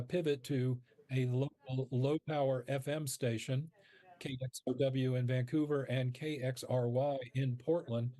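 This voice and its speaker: Male radio producer as interview guest: soft-edged mellow tone, dry close sound, measured explanatory delivery with halting pauses. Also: mid-range voice, no room sound.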